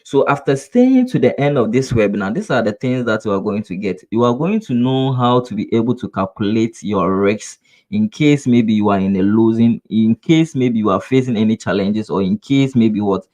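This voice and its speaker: A man's voice: lowish voice, normal speed, darkish tone. Earnest Ghanaian man teaching a webinar. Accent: Ghanaian English. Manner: repetitive, rhetorical "what?" questions; earnest, coaching address to viewers. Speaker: trading instructor. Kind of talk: live webinar lesson.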